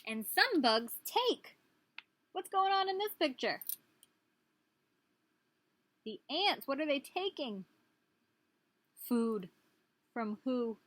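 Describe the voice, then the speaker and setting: A woman's voice: high voice, very animated, slow pace, pauses often, a bit bright, no echo. A woman, a preschool teacher, reading a picture book aloud to young children and prompting them with questions.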